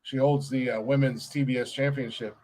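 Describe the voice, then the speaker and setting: A man's voice: mid-range voice, steady tone, a little fast, a little mellow. A man hosting a comedy podcast, bantering casually, laughing often and rambling with fillers. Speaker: podcast host.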